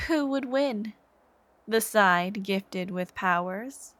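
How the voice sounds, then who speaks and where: Woman acting a slow, obsessive yandere villainess. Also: mid-range voice, fairly bright tone. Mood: scornful. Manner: slow, intimate, breathy sighs and whispered phrase endings. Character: obsessive yandere supervillain woman, devoted, soft-spoken, menacing. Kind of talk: ASMR roleplay, scripted dramatic monologue.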